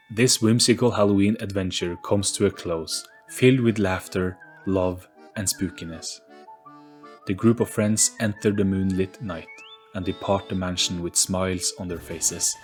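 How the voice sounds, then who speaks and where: A man's voice: low-pitched, fairly even intonation, a little slow. A man narrating a story as an audio play in a dramatic, scripted storybook style. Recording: faint music behind narration. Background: soft background music.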